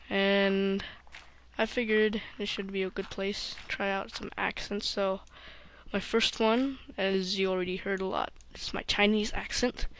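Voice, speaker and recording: A woman's voice: medium pitch, fairly bright tone, smooth, no echo. A woman hosting a game playthrough, rambling and often dropping to a mumble. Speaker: let's play host. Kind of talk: gameplay commentary.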